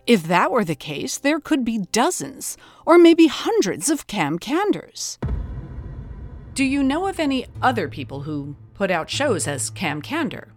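Lady hosting a mystery podcast, expressive earnest narration, dramatic pondering, soft music behind. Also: on the high side, measured pace. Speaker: host and interviewer. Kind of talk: scripted investigative podcast narration.

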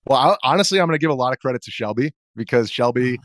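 A man's voice: mid-range voice, expressive, rapid-fire, a bit bright, dry recording, studio quality. An American man, an interview guest and journalist, recounting his experiences in a casual conversational style.

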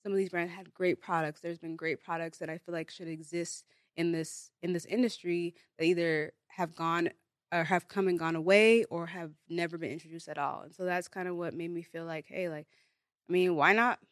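A woman's voice: on the low side, steady tone, brisk, no echo. A young woman from Nigeria whose first language is Igbo, chatting casually as a guest on a studio podcast, full of "like" and "um" fillers. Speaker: guest, beauty brand founder. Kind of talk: casual interview. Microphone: studio mic.

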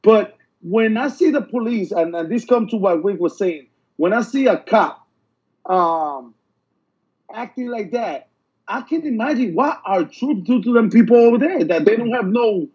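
High, husky man from Puerto Rico. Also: animated, moderate pace, a little mellow, muffled recording. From Puerto Rico, raised in the mainland United States. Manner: repeats himself, earnest, insistent. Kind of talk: casual podcast chat.

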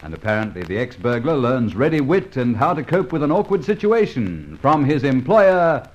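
A man's voice: medium pitch, sing-song, moderate pace, darkish tone. A man announcing in scripted old-time radio narration. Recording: dull, muffled old radio recording.

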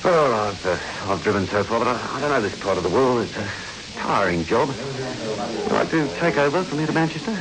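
An actor playing a genial but hesitant truck owner in a 1960s radio drama, stumbling through his offers with frequent pauses. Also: mid-range voice, expressive, a crowd in the background, lightly acted, low-quality recording. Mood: hesitant, businesslike. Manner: hesitant, full of pauses and uhs. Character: genial, shifty-hesitant male truck owner hiring a driver.

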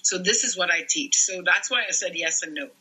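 A man's voice: high voice, fairly quick, bright, forceful tone, slightly rough, poor audio. A middle-aged man from Trinidad and Tobago, a lactation specialist explaining earnestly as a podcast guest. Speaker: newborn care and lactation specialist.

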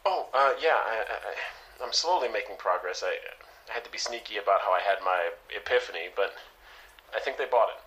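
A man acts out a young superhero intern in an audio drama. His voice is bright and tense, and he stammers through his halting lines, jittery and reluctant. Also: lowish voice, lively intonation, rough recording. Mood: sheepish.

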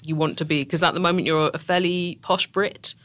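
A woman's voice: fairly low pitch, steady tone, brisk, a bit bright. A woman from England with a southern English accent, a guest trading dry, witty remarks in a casual podcast chat.

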